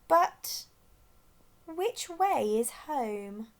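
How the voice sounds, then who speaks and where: A timid, worrying male penguin as a woman storyteller acts him out. The pitch sits high and jumps about, with a fretful, reluctant manner suited to a children's storybook. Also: sing-song, very slow, full character voice. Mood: uncertain. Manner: fretful, sighing complaints. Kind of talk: children's storybook read-aloud.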